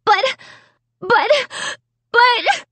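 Woman as a teenage sister, stuck-up, furious, shrill, high-pitched, storybook character. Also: wildly swooping pitch, moderate pace, a bit bright, no echo, full character voice. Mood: sputtering, snappish. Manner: snappish, indignant. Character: furious, stuck-up teenage girl painter, shrieking at her brother.

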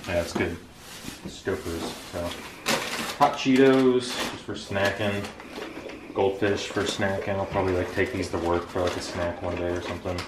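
A man in his twenties with an American accent, chatting casually in a low-key, matter-of-fact way as a vlogger. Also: steady tone, moderate pace. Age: 20s. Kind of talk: casual grocery haul chat. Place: open kitchen and dining room at home. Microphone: camera a few feet away. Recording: echoey room, clear background noise, rustling clicks.

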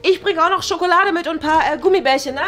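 A young woman playing a casual, slightly awkward flatmate who talks in a chatty, hedging way. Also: very high voice, fast. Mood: upbeat. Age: young adult. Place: indoor flat.

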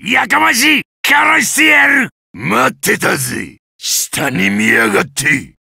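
A man voicing a brutal, sadistic giant golem warrior in a video game, with a rough, gravelly, coarse and taunting delivery. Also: sits high, very animated, slow, bright, forceful tone, dry recording, shouting, clean studio sound, full character voice. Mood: furious, then menacing taunting. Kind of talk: video game battle voice lines.

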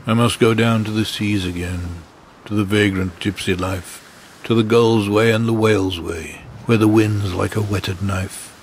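A man reading a poem in a solemn, measured, deliberate recitation. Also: on the low side, fairly even intonation. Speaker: poetry reader. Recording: clear background ambience noise.

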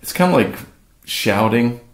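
A man's voice: mid-range voice, expressive, measured pace. A man co-hosting a podcast, thinking aloud in a reflective, casual way. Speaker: podcast co-host. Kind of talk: casual discussion. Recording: roomy echo.

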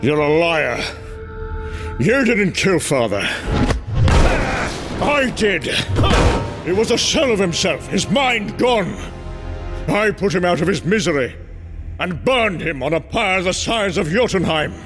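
A man's voice: high voice, big pitch swings, slow pace, long pauses, over loud music, full character voice. A man voicing an anguished, wrathful old warrior king of gods in a dramatic comic-book performance, declamatory and grief-stricken. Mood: furious.

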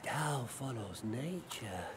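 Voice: mid-range voice, expressive, slow, heavy background noise. An actor voicing a self-important deity in a video game, speaking in archaic, formal words. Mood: stately.

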